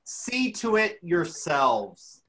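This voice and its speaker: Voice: medium pitch, sing-song, drawn-out words, fairly bright tone. A church reader giving a dramatic reading of scripture.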